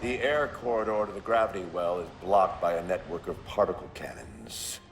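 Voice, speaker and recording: A man playing a gruff, arrogant older starship captain in a sci-fi game, commanding and brusque. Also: sits low, normal speed. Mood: stern, urgent. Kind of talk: cinematic sci-fi game dialogue. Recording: music behind, large reverberant space.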